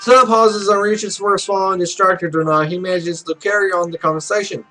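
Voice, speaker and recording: A man's voice: sits high, steady tone, fairly quick, sharp tone, low-quality recording. A man reading a visual novel aloud as a let's player, with casual, sweary asides. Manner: sweary, loose off-script reactions. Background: game music.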